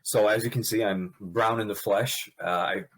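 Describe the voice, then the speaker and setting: A man's voice: lowish voice, breathy edge, poor audio. A man in his thirties, born in Pakistan and raised in Brooklyn, talking candidly as a podcast guest. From Pakistan, raised in Brooklyn, New York. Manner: candid, animated storyteller with quick laughs. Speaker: guest, nurse anesthetist. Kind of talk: podcast interview.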